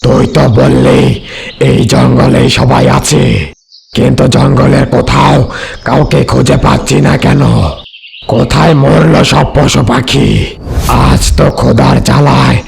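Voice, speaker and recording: A man voicing a menacing, domineering, hungry ghost monster with a gravelly voice in a cartoon. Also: mid-range voice, level tone, unhurried, slight background noise. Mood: hungry, grumbling. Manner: domineering, scolding. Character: menacing, bullying, hungry male ghost monster ruling a jungle. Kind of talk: cartoon voice acting.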